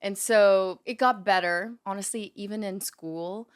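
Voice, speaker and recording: A woman's voice: medium pitch, fairly even intonation, measured pace, clean tone. A young woman from the Philippines, a Filipino native speaker, chatting as a podcast guest and telling stories with frequent laughs. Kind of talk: casual chat.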